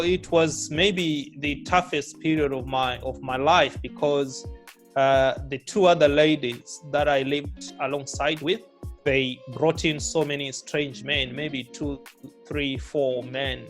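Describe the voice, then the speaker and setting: A middle-aged man from Zimbabwe, a guest author recalling his childhood in a slow, reflective interview, with many fillers and restarts. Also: on the high side, fairly bright tone, faint music behind. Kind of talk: relaxed life-story interview.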